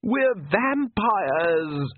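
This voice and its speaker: A man puts on a furious young vampire daughter in a fantasy storybook, pitched well up into a shrill snarl. The delivery is wildly animated and a bit husky, on thin phone-like audio. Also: normal speed, dry recording, full character voice.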